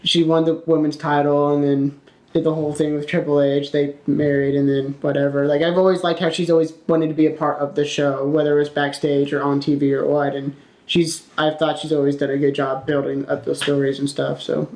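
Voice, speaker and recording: Low-voiced young woman, echoey room. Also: level tone, brisk, darkish tone. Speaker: podcast co-host. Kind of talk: casual panel chat about pro wrestling. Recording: roomy, echoing room sound.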